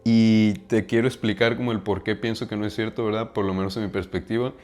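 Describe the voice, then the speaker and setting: A man's voice: fairly low pitch, fairly even intonation, fairly quick, a little mellow. A man from Mexico with a Mexican accent, talking casually to his viewers about self-improvement, often tagging his sentences with a questioning "right?" and using slang. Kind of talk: casual monologue to camera.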